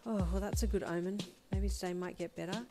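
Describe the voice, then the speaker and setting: A woman's voice: mid-range voice, fairly even intonation, a little fast, natural voice. Woman acting an anxious, hot-tempered young daughter over music. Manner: exclamatory, blunt, scolding. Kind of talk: dramatized fan-fiction audio story.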